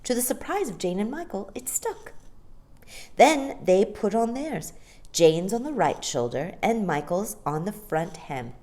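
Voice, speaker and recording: Very animated, slow-paced woman storyteller. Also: medium pitch. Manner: theatrical, deliberate. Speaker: storytime reader. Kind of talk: expressive scripted storybook narration.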